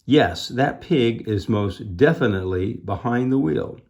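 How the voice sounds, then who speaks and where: A man voicing a self-important, by-the-book storybook police officer, deliberate and emphatic in his delivery. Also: medium pitch, lively intonation, gravelly, a little mellow, pitched above the performer's own voice. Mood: pompous.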